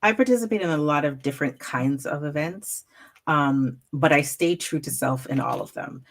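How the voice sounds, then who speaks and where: A woman storyteller speaking as an interview guest, in an animated, expressive way. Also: sits low, moderate pace. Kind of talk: casual interview talk.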